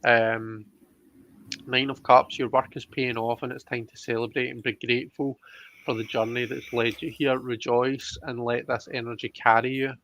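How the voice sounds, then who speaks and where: A man's voice: mid-range voice, flat delivery, moderate pace, fairly bright tone, rough recording. A man hosting a casual live card reading, chatting with a guest and viewers and thinking aloud in a hesitant, repetitive way.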